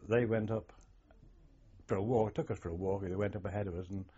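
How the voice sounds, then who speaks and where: Older male, low husky mellow voice, reflective storyteller, lo-fi archive tape. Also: fairly even intonation, fairly quick. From England. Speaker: oral history interviewee, former navy sailor. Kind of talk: reminiscing oral history interview.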